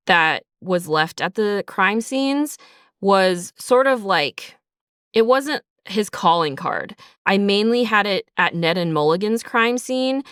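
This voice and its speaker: Woman, interview guest, reflective casual talk, crisp clear voice, dry close sound. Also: mid-range voice, fairly bright tone, dry recording. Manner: reflective, thinks aloud with frequent "like" and "sort of". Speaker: writer and creator of an audio drama, guest. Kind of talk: casual behind-the-scenes interview.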